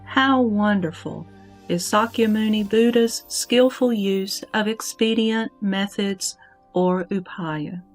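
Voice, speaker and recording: A woman playing a reverent bodhisattva in a scripted sutra audio drama, giving measured praise. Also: medium pitch, faint music behind, close to an everyday voice. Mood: reverent awe.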